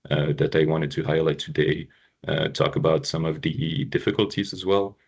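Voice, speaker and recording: A man's voice: extremely low pitch, steady tone, moderate pace, vocal fry, husky. A man from Quebec with a French accent, giving an informative webinar presentation with frequent ums and uhs. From Quebec, Canada. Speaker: trade consultant presenting.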